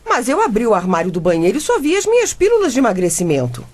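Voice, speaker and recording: Animated, exasperated wife and mother. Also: on the high side, quick pace. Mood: reproachful. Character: exasperated, defensive modern wife and mother, a reporter. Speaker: radio drama actor. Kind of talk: comic radio drama acting.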